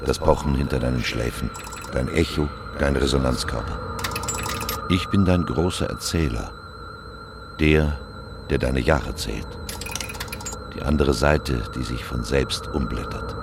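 Very deep, gravelly underworld master. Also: male voice, a little slow, long pauses, background noise, heavily acted. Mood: menacing, taunting. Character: sinister male underworld host, mocking shadow self, cold and menacing. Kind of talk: modern radio play acting, partly rhythmic recitation. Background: music.

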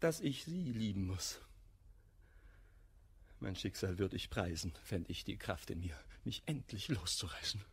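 An actor playing a jealous, hot-tempered gentleman in love, declaiming bitter rhymed verse in a classical radio comedy. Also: fairly low pitch, big pitch swings, a little slow, slightly rough, exaggerated voice. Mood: despairing.

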